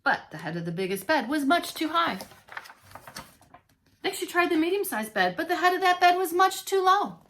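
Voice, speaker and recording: A woman's voice: very high voice, sing-song, moderate pace, a bit bright. A woman reading a story aloud to young children as a preschool teacher, expressively and with playful asides. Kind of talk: expressive children's read-along narration.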